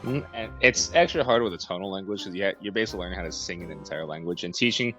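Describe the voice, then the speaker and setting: A man's voice: deep voice, lively intonation, quick pace, sharp tone, soft background music, poor audio. A young American man in a casual interview, laid-back, chuckling often and hedging his words. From United States. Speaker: language program student.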